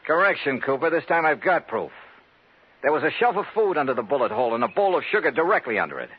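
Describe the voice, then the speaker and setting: An American actor playing a cocky, wry insurance investigator in a 1950s radio detective drama, with crisp, confident delivery. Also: male voice, fairly high pitch, big pitch swings, fast talker, exaggerated voice. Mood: accusing, confident. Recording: muffled 1950s radio broadcast recording.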